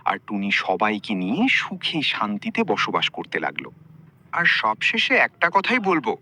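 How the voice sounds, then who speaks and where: Animated, bright male story narrator. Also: on the low side, unhurried.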